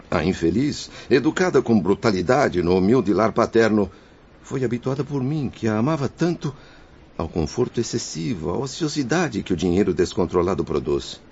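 A man's voice: low-pitched, a little mellow, husky, heavily acted. An actor playing the guilt-ridden, grateful spirit of a father in a radio soap, speaking in a solemn, declamatory way. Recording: dull lo-fi radio drama recording.

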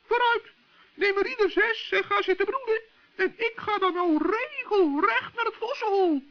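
Tiny bossy gnome, extremely high creaky voice, stammering, earnest, children's radio drama, dull vintage recording. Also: male voice, normal speed. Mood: bossy, indignant. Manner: excitable, stammering, repeats himself. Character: little forest gnome, plucky, bossy and earnest. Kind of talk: 1960s children's radio play. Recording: muffled old radio play recording.

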